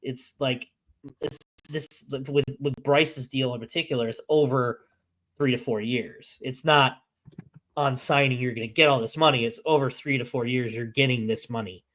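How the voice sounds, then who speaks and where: A man co-hosting a casual sports podcast, hoarse and losing his voice after being sick, talking in halting bursts full of restarts. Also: medium pitch, steady tone, fairly bright tone. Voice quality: halting, many restarts and fillers. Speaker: podcast co-host.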